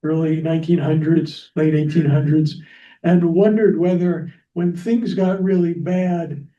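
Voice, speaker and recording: Guy moderating a panel, kinda high soft-edged mellow voice, halting, muffled n far from the mic. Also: steady tone, a little hiss or hum. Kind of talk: unscripted remarks at a library panel. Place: echoing library event room. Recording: roomy, muffled, distant microphone.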